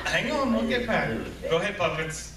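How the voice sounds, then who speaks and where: A man's voice: high-pitched, expressive, moderate pace. Upbeat man leading kids. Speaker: children's ministry leader. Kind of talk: leading a children's church program. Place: church sanctuary. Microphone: far camera. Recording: echoey sanctuary, distant camera, noise.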